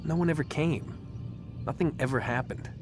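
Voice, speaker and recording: A man's voice: on the high side, moderate pace, close to an everyday voice. An actor playing a guarded, wry man in his twenties, casual and laconic, in a video game cutscene. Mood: wry, reflective. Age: 20s. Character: guarded, wry young man held captive, casual ex-assassin. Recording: music behind the voice.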